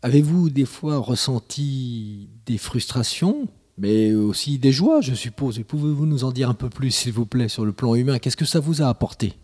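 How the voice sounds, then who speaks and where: A man interviewing a village mayor, asking measured, formal questions. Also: mid-range voice, lively intonation, a little mellow, in a large hall.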